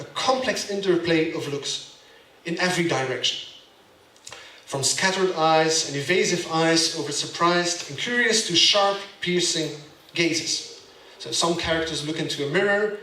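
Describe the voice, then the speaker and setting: A man's voice: fairly high pitch, bright, forceful tone, far from the mic. A man with a Belgian accent gives a gallery lecture, speaking emphatically and checking in with the audience. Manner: emphatic, keeps asking "yeah?" to the audience. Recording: echoey room, voice off-mic.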